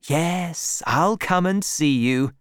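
Woman acting out a male soldier, curt, evasive, firm, placating, raised pitch, storybook character. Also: slow, dry recording, clean studio sound. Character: young male army sergeant, curt, evasive sweetheart.

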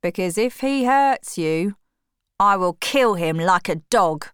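A woman's voice: fairly low pitch, animated, slow pace, clear, resonant tone, no echo, studio quality. A woman reading the part of an earnest sixteen-year-old brother in a storybook. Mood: stern. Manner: earnest, protective. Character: young man of sixteen, blunt and protective.

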